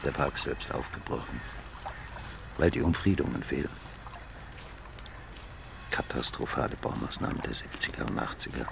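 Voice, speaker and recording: Very deep, gravelly, gloomy note-taker. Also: male voice, noisy background, full character voice. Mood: bleak. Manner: deliberate, bleak, dictating. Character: gloomy man dictating notes on a run-down spa town. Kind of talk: radio drama monologue. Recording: loud water and outdoor ambience.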